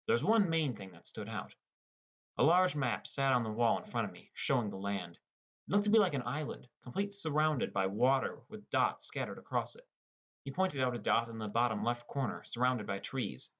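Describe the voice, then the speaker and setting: A man's voice: mid-range voice, animated, moderate pace, a bit bright. A man narrating a scripted first-person story as an audiobook narrator.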